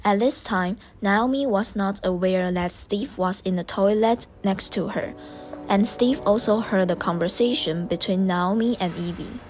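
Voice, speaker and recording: A young woman narrates an amateur student radio drama from a script. Her pitch is medium, and music plays clearly behind her. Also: unhurried, darkish tone. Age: young adult.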